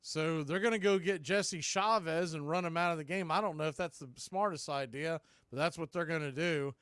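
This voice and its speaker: Higher-pitched man calling baseball, dry sound. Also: normal speed, a bit bright, clean tone, dry recording. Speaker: baseball play-by-play host. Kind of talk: live radio sports commentary.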